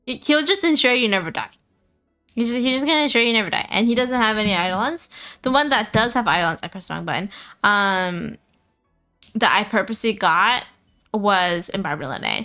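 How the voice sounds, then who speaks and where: A woman's voice: mid-range voice, pushed and bright. A woman live streamer chatting casually about a game, often repeating phrases for emphasis. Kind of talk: casual gaming stream chat.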